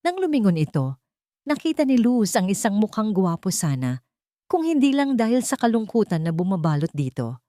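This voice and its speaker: A woman's voice: mid-range voice, very animated, moderate pace, warm tone, no echo. A woman narrator reading a romantic drama in a scripted, dramatic storytelling style.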